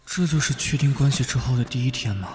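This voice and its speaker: A male actor voicing an earnest, good-natured, easily flustered police detective in an animated drama. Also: mid-range voice, quick pace, noisy background, natural voice. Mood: awkward, musing. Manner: conciliatory, earnest.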